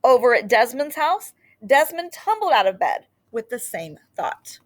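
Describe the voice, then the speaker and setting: Expressive woman reading a storybook, lively intonation. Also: on the high side, measured pace. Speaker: read-aloud storyteller. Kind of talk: expressive children's picture book read-aloud.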